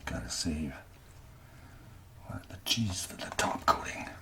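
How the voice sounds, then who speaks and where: A man from Canada speaking softly and gently in an ASMR cooking style, often dropping to a breathy whisper as he talks through each step. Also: low voice, lively intonation, measured pace. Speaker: amateur ASMR cooking host. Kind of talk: soft-spoken ASMR cooking narration.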